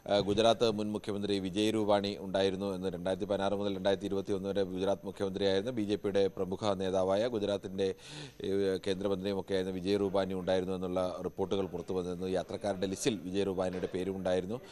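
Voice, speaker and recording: Fast, even-toned man reporting news. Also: low-pitched. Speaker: news correspondent. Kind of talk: unscripted live news analysis.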